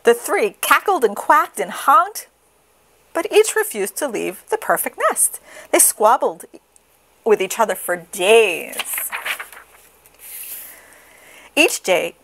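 A woman reads a picture book aloud for children. She takes her time, with dramatic phrasing and a very lively rise and fall. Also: on the high side, slow pace, pauses often. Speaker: storybook reader. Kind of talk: expressive children's read-aloud.

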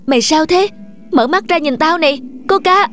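A woman's voice: sits high, moderate pace, a bit bright, pitched above the performer's own voice. A woman voicing a devoted, kind-hearted young male shepherd in an earnest, emotional fairy-tale cartoon style. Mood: anxious calling. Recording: music behind the voice.

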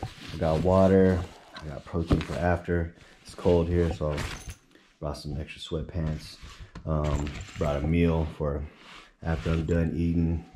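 A man casually narrating a vlog as he tours his weightlifting gym, relaxed and chatty. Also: a bass voice, a little slow, background noise. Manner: relaxed, chatty, laid-back. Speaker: vlogger touring his gym. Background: music.

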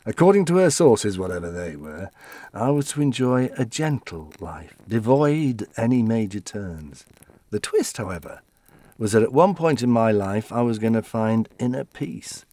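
Old widower in an audio play, deep-ish voice, halting wistful storytelling, chatty and reflective. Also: male voice, very animated, dark tone, no echo. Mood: wistful reminiscing. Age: older. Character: elderly widower reminiscing wistfully about his late wife.

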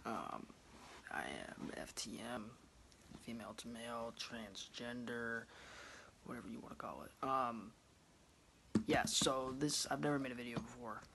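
A man's voice: mid-range voice, measured pace, vocal fry, pauses often, background noise. A man in his twenties chatting casually to his phone camera in a small room at home, rambling with lots of ums. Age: 20s. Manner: relaxed, rambling, many ums. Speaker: vlogger. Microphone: phone camera close up.

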